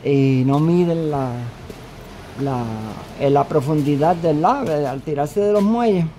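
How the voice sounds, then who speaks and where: A man from Puerto Rico with a Puerto Rican accent, vlogging casually as he walks outdoors, halting, with many fillers and restarts. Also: on the high side, mellow, a little hiss or hum. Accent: Puerto Rican Spanish. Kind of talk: casual walking vlog. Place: outdoors, walking.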